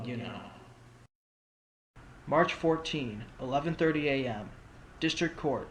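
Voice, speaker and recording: A man's voice: medium pitch, distant mic, a little hiss or hum, lightly acted. A man playing a wry, earnest fox who is a rookie defense attorney in an amateur audio drama. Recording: roomy, off-mic, under loud music.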